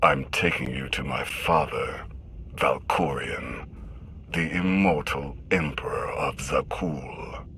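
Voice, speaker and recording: Haughty, very deep-voiced villain prince. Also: male voice, sharp tone, a little hiss or hum, full character voice. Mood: scornful. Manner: haughty, measured, disdainful. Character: arrogant young warrior prince, scornful and smug. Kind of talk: dramatic video game voice acting.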